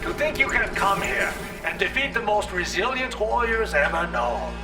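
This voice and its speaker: Game villain: haughty imperial warrior, grandiose and very animated, echoing under heavy music. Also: male voice, high voice, normal speed. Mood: scornful boasting. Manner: grandiose, declamatory. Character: proud, boastful male imperial warrior prince, contemptuous. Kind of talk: video game villain acting. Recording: echoey, distant, under loud music.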